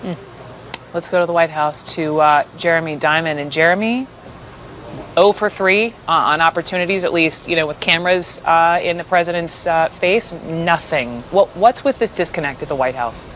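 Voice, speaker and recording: A woman's voice: low-pitched, moderate pace, fairly bright tone, a little hiss or hum. A woman with an American accent anchoring a live television news broadcast.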